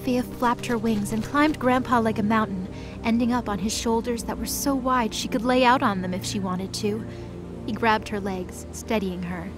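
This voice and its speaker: A woman narrates a mythology audio drama in a scripted storytelling style. Her voice sits a little high, and music plays clearly underneath. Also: steady tone, normal speed.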